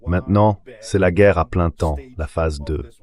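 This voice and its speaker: This is a synthetic male voice with a deep pitch. It reads translated political commentary as a voice-over, in blunt, scripted phrases. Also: measured pace, a little mellow.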